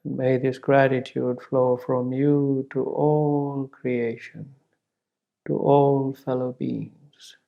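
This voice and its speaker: Male guide for spiritual meditation: reverent, unhurried, steady in pitch with noticeable fry, on a dull recording. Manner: gentle, reverent, measured with long pauses and repeated words.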